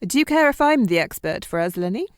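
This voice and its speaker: A woman's voice: mid-range voice, very animated, fast, clear, resonant tone, dry recording, clean studio sound, natural voice. Woman as a perky, lilting contestant. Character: perky, supportive female game show contestant. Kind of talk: audio comedy acting.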